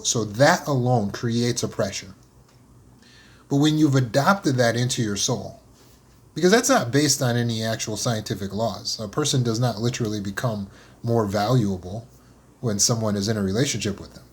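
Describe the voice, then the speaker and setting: A man gives an unscripted motivational talk in a voice of ordinary middle pitch. He speaks earnestly and often repeats words as he searches for the next thought. He slows down to lean on key phrases, then speeds up through lists. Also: breathy edge.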